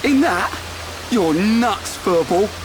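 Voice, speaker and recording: An actor playing a brash, slow-witted but friendly young British man in a video game, talking bluntly and informally. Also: high-pitched, expressive, noisy background. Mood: blunt, teasing. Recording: clear music behind.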